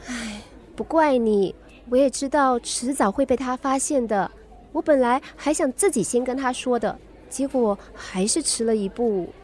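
A woman's voice: fairly high pitch, moderate pace, darkish tone, natural voice. A woman with a Singaporean accent playing a troubled, headstrong teenage daughter in a radio drama. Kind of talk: Mandarin radio drama acting.

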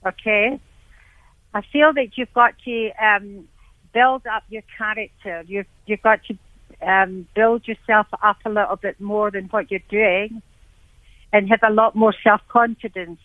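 A woman's voice: medium pitch, fairly even intonation, measured pace, no room sound. Female psychic medium, motherly phone reader, tinny dry line. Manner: motherly, calls callers dear. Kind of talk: call-in reading over the phone.

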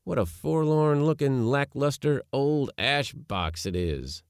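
A man's voice: mid-range voice, sing-song, moderate pace, dry recording, clean studio sound, voice pushed up, natural voice. A man voicing a boyish young nephew in a storybook reading, exclamatory, disdainful and complaining. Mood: amazed disdain.

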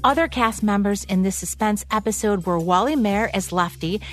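A woman's voice: mid-range voice, fairly quick, a bit bright, faint music behind. An American woman co-hosting a radio show, delivering scripted commentary on classic broadcasts.

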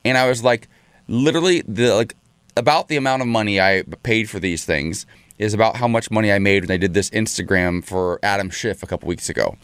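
A man co-hosting a morning show, chatty and excitable, a bit nasal from a cold. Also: on the low side, a little fast, smooth, no echo. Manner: chatty, excitable, restarts words. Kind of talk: casual chat.

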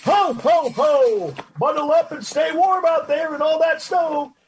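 An actor playing a jolly but weary old department store Santa, folksy and hearty, in an old-time radio drama. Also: male voice, extremely high pitch, measured pace, noisy background.

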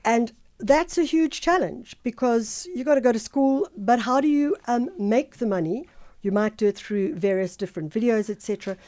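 Woman radio host, mellow. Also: a little high, lively intonation, no room sound, clean studio sound. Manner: brisk, chatty questioning. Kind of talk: radio interview. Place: radio studio.

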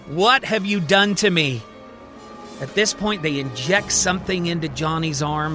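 A man narrating a fiction podcast as its host, telling the story in a dramatic, suspenseful present tense. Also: a little high.

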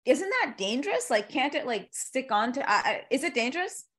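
A woman's voice: sits high, big pitch swings, fairly quick, a bit bright. A woman hosting a casual interview, chatting in an informal, rambling and joking way. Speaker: interviewer, podcast host.

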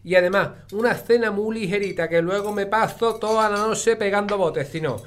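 A woman's voice: medium pitch, moderate pace, a little hiss or hum, lightly acted. An actress playing a blunt, folksy old village grandmother with an Andalusian accent and rustic, colloquial speech in a comic audio drama. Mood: chatty, blunt. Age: older. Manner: rustic, colloquial, mispronounces words.

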